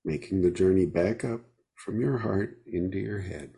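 A man leading a guided meditation, speaking gently with unhurried pauses between phrases. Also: low-pitched, creaky.

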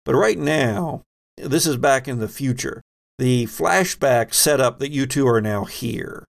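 A man's voice: mid-range voice, measured pace, gravelly. A man hosting and running a tabletop roleplaying game, talking conversationally and guiding players with questions. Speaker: game master and podcast host.

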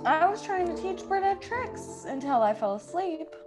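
A woman's voice: extremely high pitch, very animated, moderate pace, music in the background. A woman playing a weary, jealous young dog sitter in a comedic radio play. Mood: weary, curt. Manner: put-upon, exasperated.

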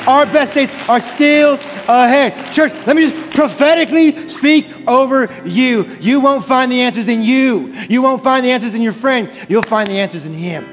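Male pastor, very high voice, impassioned sermon delivery, loud exhortation, emphatic repetition, music underneath. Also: moderate pace, few pauses. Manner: fervent, emphatic, repeats phrases for effect; loud, near-screaming exhortation. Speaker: pastor preaching to a church congregation. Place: large church hall, live preaching. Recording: large reverberant hall with music underneath. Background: music playing under the preaching.

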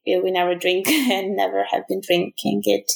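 A woman's voice: medium pitch, flat delivery, normal speed, gravelly, roomy sound. A woman from Ukraine with a Ukrainian accent, chatting as an interview guest, laughing often and restarting her sentences. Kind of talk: casual conversation.

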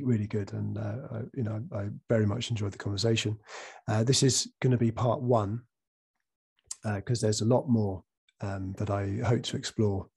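A man hosts a podcast in a relaxed, hesitant way, his voice croaky from a recent cold. He fills gaps with ums and often restarts his sentences. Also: lowish voice. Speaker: podcast host. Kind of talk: casual interview.

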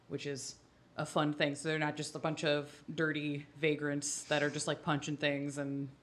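A Scottish woman with a fairly low voice and a bright, forward tone. She leads a casual chat as a podcast co-host, explaining things with irreverent, sweary humour. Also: slight background noise. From Scotland. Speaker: podcast co-host leading the discussion. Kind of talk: casual explanatory chat about a tabletop game.

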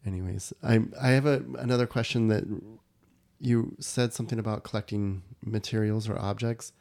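A man's voice: lowish voice, fairly even intonation, moderate pace, a little mellow. A man hosting a casual interview, asking long rambling questions that stack up and restart. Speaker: podcast host.

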